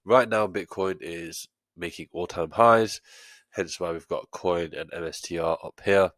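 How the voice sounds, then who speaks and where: A man's voice: low-pitched, measured pace, a bit bright, dry recording. A man with a stuffy nose from a cold, explaining an options trade step by step in a screen-share tutorial, with frequent fillers. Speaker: trading educator.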